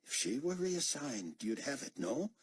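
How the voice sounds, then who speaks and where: A man's voice: mid-range voice, very animated, measured pace, husky, no echo, voice pushed up, full character voice. Man playing a curt impatient director. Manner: terse, commanding.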